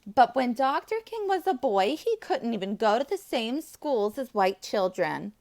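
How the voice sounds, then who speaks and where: Animated, higher-voiced woman reading to preschoolers. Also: unhurried. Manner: enthusiastic, addressing young children as friends. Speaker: preschool teacher. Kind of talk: children's read-aloud with asides to the listeners.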